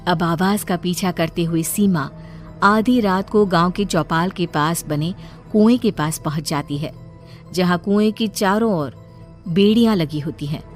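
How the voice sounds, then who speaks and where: A woman's voice: fairly low pitch, a little slow, soft background music. A woman reading a scripted horror story as its narrator.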